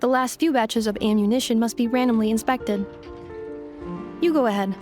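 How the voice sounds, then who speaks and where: Woman as a highish, formal robot girl. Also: fairly even intonation, normal speed, a little mellow, close to an everyday voice. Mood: matter-of-fact. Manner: clipped, formal, emotionless machine phrasing. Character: polite, literal-minded female robot girl. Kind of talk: video game cutscene voice acting. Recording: music behind the voice.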